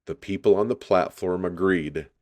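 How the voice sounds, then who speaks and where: A man reading a children's story aloud in an unhurried, deliberate storytelling style. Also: sits low, a little mellow.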